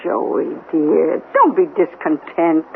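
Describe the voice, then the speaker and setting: A woman's voice: deep voice, big pitch swings, takes it slowly, creaky, exaggerated voice. Careworn, motherly older radio-drama woman. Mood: gently reproving. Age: middle-aged. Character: loving, careworn widowed working mother, gently reproving. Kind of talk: 1970s radio drama acting. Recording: muffled phone-like old radio, faint hiss.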